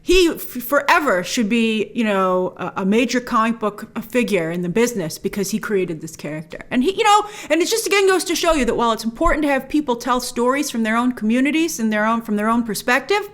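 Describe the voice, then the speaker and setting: A woman's voice: on the high side, expressive, fairly quick, few pauses. A woman hosting a review show, giving opinionated commentary straight to viewers and stressing key words emphatically. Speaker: host of a movie review show.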